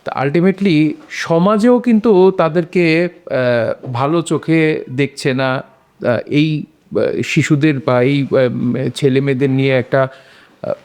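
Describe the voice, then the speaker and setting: Guy with a slightly high voice, explaining his take in a casual panel chat. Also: takes it slowly, long pauses, a little mellow, clean tone. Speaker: discussion panelist. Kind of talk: casual discussion of a short story.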